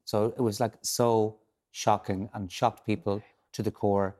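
An Irish man, a political journalist, analysing events in a measured way on a radio panel. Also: on the low side, flat delivery, a little mellow. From Ireland. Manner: measured, analytical, with frequent restarts.

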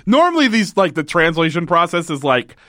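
A man's voice: a little high, fairly quick, no room sound, studio quality. Sarcastic guy riffing on a cheesy old show, swears a lot, voice jumps all over. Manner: animated, sarcastic, swears a lot, acts out lines. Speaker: podcast co-host. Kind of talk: casual chat recapping a TV episode.

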